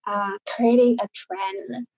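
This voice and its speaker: A woman's voice: medium pitch, fairly even intonation, takes it slowly, a little mellow, dull audio. A woman from Indonesia, a guest talking casually over a video call, rambling with many fillers and restarts.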